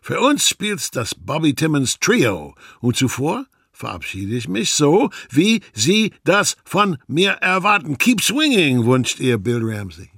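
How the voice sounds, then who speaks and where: An older man signing off a radio show with lively flair, from an archive broadcast. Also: fairly high pitch, slow, breathy edge, no echo. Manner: lively, swinging sign-offs.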